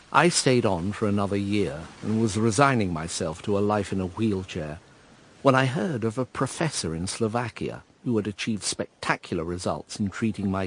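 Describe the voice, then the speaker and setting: An actor playing a melancholy gentleman narrating his own past in a radio drama, measured, understated and reflective. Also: fairly low pitch, a little hiss or hum, natural voice. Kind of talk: first-person dramatic narration.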